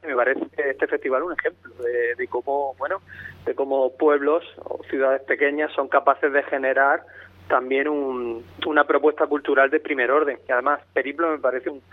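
A man's voice: mid-range voice, steady tone, a little fast. A man from Murcia with a mixed Murcian and Andalusian Spanish accent, an author calling in to a radio interview, thoughtful and full of restarts. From Lorca, Murcia, Spain. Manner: thoughtful, many restarts and repeated words. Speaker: author and guest. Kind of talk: radio interview over the phone. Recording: phone line.

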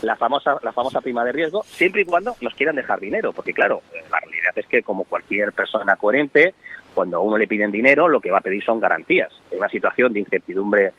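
A man with a low, husky voice who delivers worried, analytical commentary at a fast pace, often pausing on "eh". He comes through a dull, lo-fi line. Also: expressive, sharp tone. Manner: worried, analytical, with frequent "eh" fillers. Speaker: economic commentator. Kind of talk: radio commentary.

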